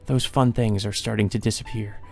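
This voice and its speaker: Guy reading as a soft wistful young maid, gentle n resigned, fading away, visual novel read aloud. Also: on the low side, fairly even intonation, a little fast, creaky, soft background music.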